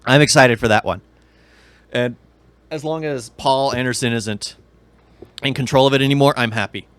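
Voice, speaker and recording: Male podcast co-host, medium pitch, casual explainer with opinions, recorded dry and without room sound. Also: normal speed, fairly bright tone, clear, resonant tone, dry recording. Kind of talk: casual chat about games and movies.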